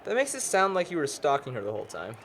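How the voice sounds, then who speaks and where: A man's voice: on the high side, wildly swooping pitch, fast talker, a bit bright, full character voice. A young American man acting as a wordy know-it-all who teases his friends in film dialogue. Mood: teasing. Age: young adult. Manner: wordy, teasing, lecturing. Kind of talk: naturalistic amateur film dialogue. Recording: noticeable background noise.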